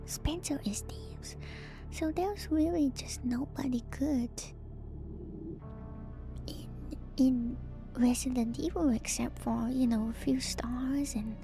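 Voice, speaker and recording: A woman streamer doing a whispered ASMR reading with casual commentary, musing in a hushed, drawn-out way. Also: high voice, creaky. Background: background music.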